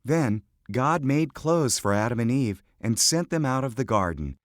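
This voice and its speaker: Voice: mid-range voice, expressive, moderate pace, dry recording, studio quality. A narrator reading a scripted children's Bible story.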